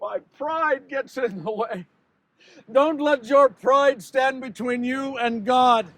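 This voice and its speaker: Guy doing a guilt ridden biblical betrayer, really high pitched for a man, dramatic stage monologue, impassioned with big pauses. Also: dry recording, exaggerated voice. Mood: grave, bitter. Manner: impassioned, dramatic pauses, swings from hushed to shouting. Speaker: actor performing a dramatic monologue. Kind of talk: theatrical first-person biblical monologue.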